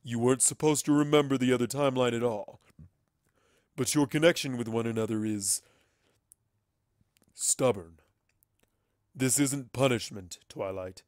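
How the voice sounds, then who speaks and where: A man's voice: mid-range voice, a little slow, long pauses, a little mellow, no room sound, clean studio sound, natural voice. A man voicing a cold, lofty otherworldly entity that speaks formally and condescendingly in a dramatic story reading. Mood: lofty, detached. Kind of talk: dramatic fan fiction reading.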